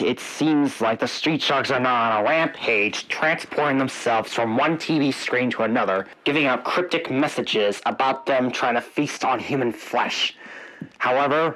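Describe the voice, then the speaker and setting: Man acting as a police officer, sharp bright tone, analog-horror radio drama, official wording. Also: mid-range voice, lightly acted, poor audio. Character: male police officer giving grave warnings, panicking under attack. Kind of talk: amateur analog-horror emergency broadcast acting.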